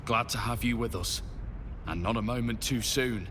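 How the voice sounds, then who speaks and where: An actor playing an earnest military leader in a fantasy game, oratorical and stirring, rallying troops. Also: male voice, mid-range voice, normal speed, background noise, close to an everyday voice. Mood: firm welcome. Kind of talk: scripted rallying speech.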